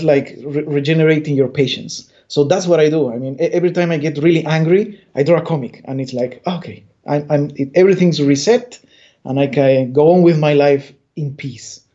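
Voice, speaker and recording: A man's voice: on the high side, normal speed, dark tone. A man from Bolivia, a comic artist guest, talking in a rambling way with many restarts. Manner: rambling, stammers and restarts often. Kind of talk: casual interview chat. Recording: dull lo-fi audio.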